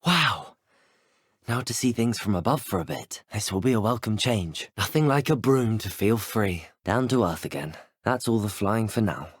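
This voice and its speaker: An actor playing a curious, plucky young wizard student in a video game, musing to himself in a polite, wry way with a husky, breathy voice. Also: male voice, lowish voice, lively intonation, moderate pace, no echo, studio quality. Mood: cheerful.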